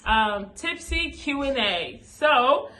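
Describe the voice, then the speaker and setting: A young woman hosting a laid-back video chat with friends, her voice high and bright. She is bubbly and giggly, often breaking into exclamations. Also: expressive, takes it slowly, slight background noise, rough recording. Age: young adult. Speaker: vlogger hosting a Q&A.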